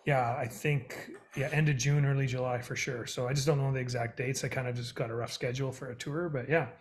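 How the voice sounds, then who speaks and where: A man from Northern Ireland talks as a podcast guest, cheerful and full of jokes, quick to laugh at himself. His voice is of ordinary pitch for a man, relaxed and conversational. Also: a little hiss or hum. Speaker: guest musician. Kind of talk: casual interview chat.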